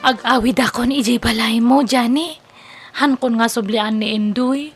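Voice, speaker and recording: An actress playing a discontented, whiny young wife and daughter in an Ilocano radio comedy drama, speaking in a plaintive, dramatic way. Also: female voice, fairly high pitch, steady tone, moderate pace, music in the background, close to an everyday voice. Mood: coy, subdued. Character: discontented wife, whiny daughter leaving her sick husband.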